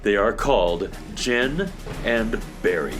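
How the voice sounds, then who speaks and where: A man's voice: fairly low pitch, lively intonation, slow pace, over music. An actor playing a lofty, all-knowing cosmic handyman in a children's radio drama, speaking formally and pompously. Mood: knowing, grave.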